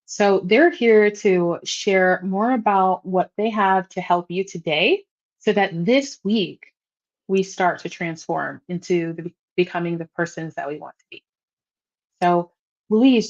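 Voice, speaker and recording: A woman's voice: lowish voice, muffled recording. A woman hosting an online talk, speaking in an earnest, encouraging way with casual fillers. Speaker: host.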